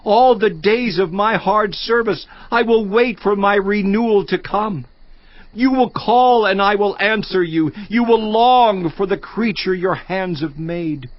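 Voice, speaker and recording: Voiced by a man, a suffering man laments the brevity of life in a slow, grave, mournful delivery. The voice sits a little high. The recording is dry and slightly dull. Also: dry recording. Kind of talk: dramatized scripture reading.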